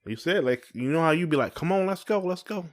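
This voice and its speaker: A man's voice: fairly high pitch, expressive, quick pace. A man with a Southern US accent co-hosting a podcast, chatting casually and colloquially with playful teasing. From United States. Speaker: podcast co-host. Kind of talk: casual couple's podcast chat.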